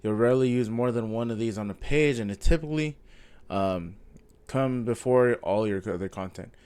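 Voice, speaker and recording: A man's voice: medium pitch, normal speed, a little mellow, smooth. A man with a stuffy nose from a cold, casually reading through a coding course aloud with frequent ums and self-corrections. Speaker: self-taught coding vlogger. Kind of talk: casual follow-along reading and thinking aloud.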